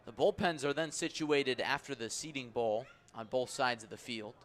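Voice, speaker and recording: A man calling baseball play-by-play for radio in a live sports commentary style. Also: fairly bright tone. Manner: measured between pitches, raised and urgent on plays. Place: stadium press area on second deck.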